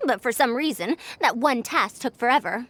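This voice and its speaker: A woman's voice: on the high side, lively intonation, normal speed, fairly bright tone. A woman voicing a shrewd, self-assured female scholar in a video game, articulate and imperious as she explains. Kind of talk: scripted video game voice acting.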